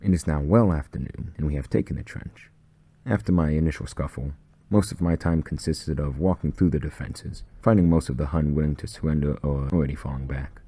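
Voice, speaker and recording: A man's voice: very deep voice, a little fast, mellow, husky, no room sound. A man reading his own first-person short story as scripted narration.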